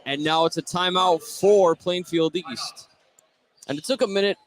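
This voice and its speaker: A man's voice: high-pitched, a little slow. A man calling a basketball game live as the play-by-play announcer on a radio broadcast. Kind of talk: live sports radio commentary.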